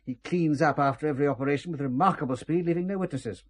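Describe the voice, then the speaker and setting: An actor playing a suave, ruthless British intelligence chief in a spy audio drama, speaking with urbane authority. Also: male voice, on the high side, fast talker, natural voice. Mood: grave, briefing.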